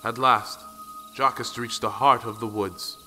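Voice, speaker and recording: A man's voice: medium pitch, steady tone, a little slow, pushed and bright, long reverb tail. A man narrating a storybook audio play in a dramatic style. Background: faint music.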